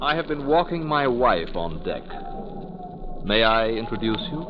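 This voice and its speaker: An actor playing an unnervingly polite, coolly defiant gentleman, formal and deliberate in 1940s radio style. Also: medium pitch, sing-song. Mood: suavely polite. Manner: formal, deliberate, pointedly courteous. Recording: muffled, noisy 1940s radio recording.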